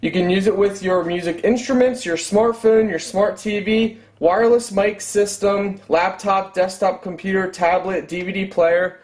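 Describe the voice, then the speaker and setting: A man's voice: high voice, steady tone, moderate pace, few pauses, darkish tone. A man in his thirties doing a casual product unboxing review, talking as a reviewer at home. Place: room at home.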